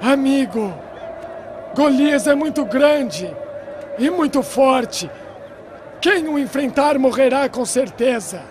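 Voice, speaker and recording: A man's voice: very high voice, animated, slow, pauses often, crowd noise, heavily acted. A man playing a fearful soldier of an ancient army, speaking emphatically in a dubbed cartoon. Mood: fearful warning. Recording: noisy background ambience.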